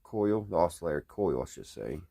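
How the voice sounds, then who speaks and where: Low-voiced folksy man. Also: very animated, brisk, vocal fry, warm tone, slightly rough. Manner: folksy, thinking aloud while working. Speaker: hobbyist radio repairer, video host. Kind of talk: casual unscripted hands-on repair vlog. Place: indoors at a workbench.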